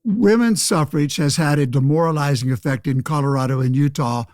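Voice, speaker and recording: Man playing a pompous old-time senator, higher-pitched. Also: brisk, a little mellow, dry recording, lightly acted. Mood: alarmed, preachy. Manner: pompous, speechifying. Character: pompous old-fashioned 1911 senator, windbag against women's suffrage. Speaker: debate guest. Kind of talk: pseudo-radio play, oratorical debate.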